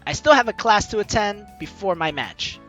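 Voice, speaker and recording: A man's voice: high-pitched, big pitch swings, moderate pace, bright, forceful tone. A man hosting a gaming video, reading game narration aloud and chatting casually with playful asides. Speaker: gaming video host, let's player. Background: game music.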